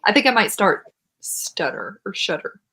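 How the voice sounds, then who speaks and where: A woman's voice: medium pitch, sing-song, moderate pace, pushed and bright, breathy edge. A woman chatting casually about books as a co-host on a show. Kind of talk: casual book chat.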